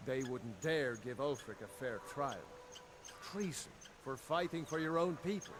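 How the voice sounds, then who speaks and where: A man voices a tired rebel soldier from a fantasy game, a devoted brother with an earnest way of telling things. His voice sits at a middling male pitch, over loud ambient noise. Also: a little slow, lightly acted. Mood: grave, amazed. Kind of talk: video game dialogue acting.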